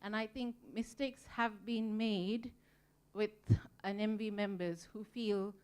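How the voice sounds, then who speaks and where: A woman's voice: mid-range voice, steady tone, slow pace, a little mellow, smooth. A woman from Sri Lanka speaking on a conference panel, earnest and reflective, with frequent ums as she thinks. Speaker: museum volunteer panelist. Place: conference room.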